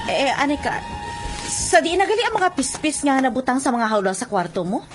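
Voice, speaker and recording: A woman's voice: sits high, big pitch swings, normal speed, over music. A woman acting in a radio drama as a fussing, chatty village mother worried about her son. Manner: chatty, fretful, hesitating.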